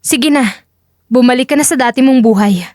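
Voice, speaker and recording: A firm, cool female secret agent acted out by a woman in an audio drama. Her high voice is curt and dismissive, recorded very dry. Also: moderate pace, a little mellow, dry recording, natural voice. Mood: stern, final. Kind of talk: dramatic audio story acting.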